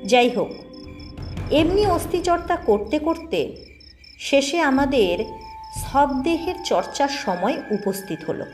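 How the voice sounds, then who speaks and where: A woman reading a scripted first-person ghost story in a measured, expressive storytelling manner. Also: sits high, soft background music. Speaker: audio story narrator.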